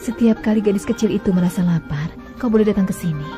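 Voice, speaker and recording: Woman doing a gentle devout mother camel, soft and soothing, cartoon dub, music underneath. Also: medium pitch, fast, natural voice. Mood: coaxing. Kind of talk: dubbed cartoon acting. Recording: music bed under dialogue.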